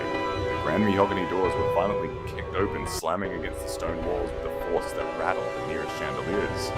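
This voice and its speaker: A man reading dramatic scripted fantasy fiction as an audiobook narrator. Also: deep voice.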